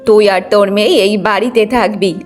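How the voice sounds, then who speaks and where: A woman's voice: medium pitch, steady tone, fairly quick, soft background music, pitched below the performer's own voice, lightly acted. A woman voicing a bitter, domineering old village mother-in-law in a cartoon moral story. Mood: stern. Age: older.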